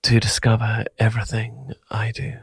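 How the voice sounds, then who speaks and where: Hushed British man, partly whispering. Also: lowish voice, completely flat delivery, moderate pace, gravelly, breathy edge, dry recording. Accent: British English. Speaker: audio creator signing off to listeners. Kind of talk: ASMR outro, soft and partly whispered.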